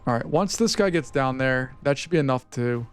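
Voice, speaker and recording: A man who is losing his voice talks through a strategy game in a relaxed, chatty way, cheering on his units. His voice is hoarse and mid-pitched. Faint music plays behind him. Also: moderate pace. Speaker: gaming channel host. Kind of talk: casual gameplay commentary.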